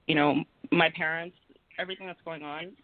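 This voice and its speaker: A woman's voice: sits low, steady tone, a little fast, no echo. A young woman born in Rwanda and raised in Canada, a guest speaking earnestly on a casual radio talk. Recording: dry tinny phone line.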